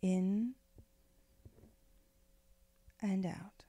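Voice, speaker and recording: A woman leading a guided meditation in a gentle, unhurried, soothing way, with phrases often trailing off into breath. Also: mid-range voice, steady tone, no room sound. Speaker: meditation guide, spiritual director.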